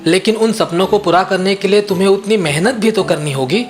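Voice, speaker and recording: A man's voice: sits high, brisk, close to an everyday voice. A man plays a contented farmer in a children's moral story. He is a caring friend who reasons and advises. Faint music sits behind him. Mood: reassuring. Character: sensible, contented male farmer, a concerned friend.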